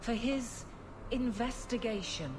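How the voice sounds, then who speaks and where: An actress playing a stern, no-nonsense captain of the guard in a video game, speaking in curt, clipped sentences. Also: female voice, on the high side, slow, a crowd in the background. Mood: brisk, dismissive. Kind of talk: video game dialogue acting.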